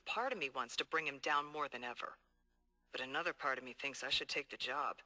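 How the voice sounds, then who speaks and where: An actor playing a determined, hard-boiled police detective dictating earnest, streetwise case logs in a video game. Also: male voice, a little high, bright, forceful tone. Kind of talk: video game audio log monologue.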